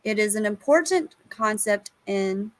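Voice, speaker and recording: A woman's voice: mid-range voice, expressive, unhurried. A woman hosting an online panel, reading a text aloud and stumbling over unfamiliar terms. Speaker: show host.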